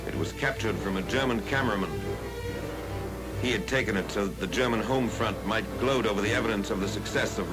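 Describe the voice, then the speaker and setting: A man with an American accent reading scripted 1940s wartime newsreel narration in a grave, measured, oratorical way, on a vintage film soundtrack. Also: fairly low pitch.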